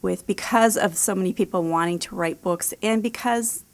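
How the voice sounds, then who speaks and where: An American woman in middle age speaks at an average pitch with a noticeably creaky, gravelly edge. She talks conversationally, like an author and editor giving informal interview answers. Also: normal speed.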